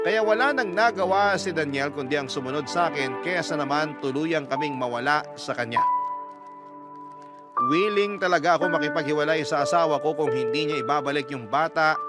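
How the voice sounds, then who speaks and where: A woman with a Filipino accent playing a sorrowful parent who recounts a family tragedy in scripted first-person narration. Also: sits low. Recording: loud music bed under narration.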